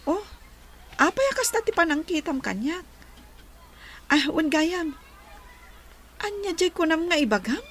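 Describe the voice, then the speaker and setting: A woman playing a kind, grateful young student in a radio drama, speaking gently and earnestly. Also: very high voice, very animated, unhurried, pauses often, slight background noise, exaggerated voice. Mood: surprised, gentle. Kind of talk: Philippine radio drama acting.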